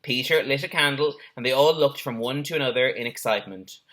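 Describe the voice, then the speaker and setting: A man's voice: medium pitch, fairly quick, a bit bright. A man, a teacher, reading a children's story aloud expressively with brief asides.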